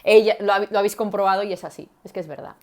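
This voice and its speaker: A woman's voice: medium pitch, rapid-fire, darkish tone. Woman, communication coach, mid-range voice, demonstrative, chatty live-stream delivery. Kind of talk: live-stream teaching talk.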